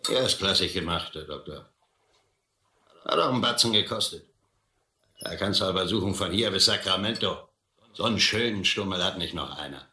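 An actor playing a reckless, crippled drunkard with a taunting, boastful manner in a German radio play. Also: male voice, deep voice, animated, normal speed, pauses often, bright, forceful tone, slight background noise, heavily acted. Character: reckless, crippled drunkard who fishes with dynamite. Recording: roomy sound with music behind.

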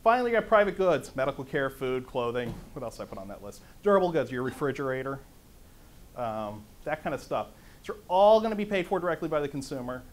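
A middle-aged man with a conversational, lively manner, lecturing to a conference audience in a meeting room. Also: medium pitch, quick pace. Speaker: conference speaker. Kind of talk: lecture on economics.

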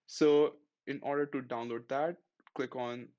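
Man giving plain step-by-step software instructions. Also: mid-range voice, fairly even intonation, unhurried, lo-fi sound. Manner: plain step-by-step instructions, friendly and matter-of-fact. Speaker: tech tutorial host. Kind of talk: screen-recorded software tutorial.

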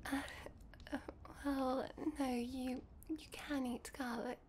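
A woman playing a sweet, shy young vampire girlfriend in an intimate ASMR roleplay, whispering softly and hesitantly. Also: high voice, steady tone, drawn-out words, a little hiss or hum. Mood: hesitant, caring. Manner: soft, breathy whispering, hesitant and giggly. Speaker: roleplay voice performer.

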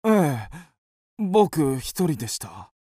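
High-pitched, indignant accused gentleman. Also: very animated, takes it slowly, breathy edge, dry recording, studio quality, full character voice. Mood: shaken, earnest. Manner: protesting, stammering, exclamatory. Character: indignant, panicking young gentleman passenger wrongly accused. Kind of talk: radio drama acting.

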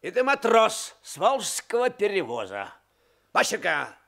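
An actor in a Soviet radio drama playing a smug, menacing tsarist police officer, theatrical, swinging from muttering to sudden barks. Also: male voice, sits high, huge pitch swings, a little slow, creaky, a bit bright, heavily acted. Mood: gloating, accusing.

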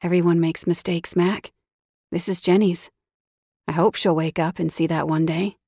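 A woman voices a tired, gentle mother figure who speaks softly with sighs between her words. Her voice sits low, and the recording is dry and close. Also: steady tone, moderate pace, dry recording. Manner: soft, sighing.

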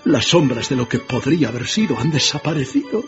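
A repentant old miser in a radio drama. The voice is emotional and exclamatory, swinging widely in pitch. The recording is dull, with music clearly underneath. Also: male voice, sing-song, brisk. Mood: relieved, grateful. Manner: exclamatory, emotional, repeats words. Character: repentant old male miser, excitable and fervent. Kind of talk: theatrical radio drama acting. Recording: dull band-limited audio, music underneath.

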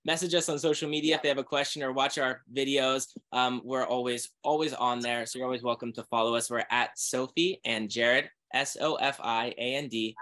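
Guy author hyping his book on a video call, mid-pitch voice. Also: a little fast, few pauses. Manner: energetic, promotional. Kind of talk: webinar conversation.

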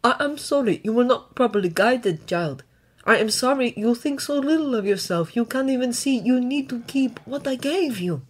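A woman voicing an ancient male dragon in an audio story, quiet but firm, speaking in a wry, measured, formal way. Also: on the high side, sing-song, voice pushed up. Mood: sorrowful, gentle. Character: wry, gentle ancient male dragon, weakened yet regal.

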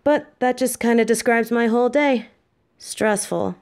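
An actress playing an affectionate, tired demon girlfriend in an audio roleplay, talking softly and close. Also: on the high side, unhurried.

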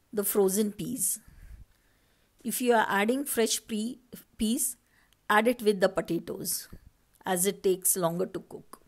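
A woman from India, narrating a recipe step by step as a cooking channel host over her footage. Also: mid-range voice. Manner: slow, step-by-step instructing.

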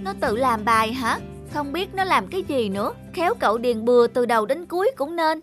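A woman voicing a lazy, excitable teenage schoolboy in a cartoon. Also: high-pitched, brisk, music in the background. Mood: sly, amazed. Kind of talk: cartoon dubbing.

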